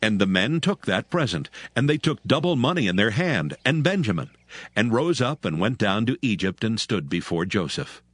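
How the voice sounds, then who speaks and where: A low male voice narrates scripture with measured solemnity. His delivery is expressive, with wide swings in pitch. The recording is dry and close, with music under it. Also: dry recording.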